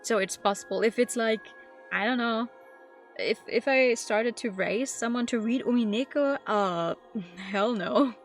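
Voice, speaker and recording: A woman's voice: a little high, a little slow. A woman live streaming a game, chatting casually and informally with her viewers. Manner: informal, conversational, talking to viewers. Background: background music.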